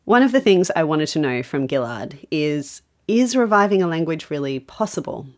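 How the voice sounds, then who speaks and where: A woman hosting and narrating a documentary interview, asking calm, inquisitive questions. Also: deep voice, moderate pace. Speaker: host.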